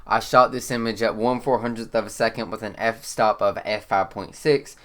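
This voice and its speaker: A man with a Kentucky accent, congested and slightly hoarse, explaining one of his photos in a relaxed, rambling way with lots of "um.". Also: fairly low pitch, moderate pace. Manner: relaxed, rambling, frequent "um" fillers. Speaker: photographer and channel host. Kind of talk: casual talk-to-camera explainer. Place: indoors.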